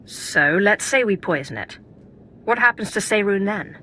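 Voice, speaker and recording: A bright, calculating Sith acolyte. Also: female voice, mid-range voice, lively intonation, a little slow, a little hiss or hum. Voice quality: cool, calculating. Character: cold, ambitious female Sith acolyte. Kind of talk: video game voice acting.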